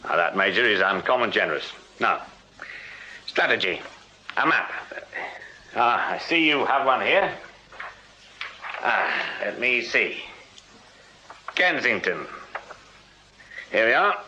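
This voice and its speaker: Forceful, dry English police sergeant. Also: male voice, on the low side, sing-song, heavily acted. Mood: brisk, businesslike. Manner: dry, deliberate, clipped, authoritative. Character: shrewd, dry, commanding Victorian police sergeant detective. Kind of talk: radio period drama acting. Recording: slightly dull, hissy radio drama recording.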